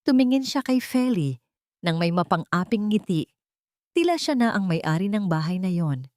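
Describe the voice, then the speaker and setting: A woman's voice: medium pitch, sing-song, moderate pace, a little mellow, no room sound, clean studio sound. A woman reading scripted dramatic narration as the narrator of a love story.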